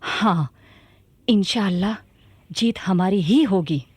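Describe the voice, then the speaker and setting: A woman's voice: mid-range voice, takes it slowly, husky. A woman acting a bold, commanding young warrior sultana in a radio drama. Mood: determined.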